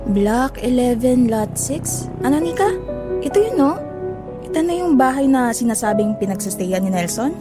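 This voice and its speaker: Anxious searching mother over loud music. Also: a little high, big pitch swings, normal speed. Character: anxious, hopeful mother searching for her child. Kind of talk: audio drama dialogue.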